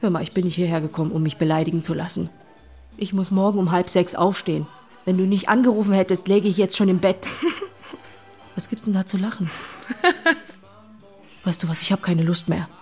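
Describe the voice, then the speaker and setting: A woman's voice: lowish voice, steady tone, normal speed, long pauses, darkish tone, slightly rough. An actress playing a practical, matter-of-fact woman, the exasperated best friend, in radio play style. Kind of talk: German radio play acting. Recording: music underneath.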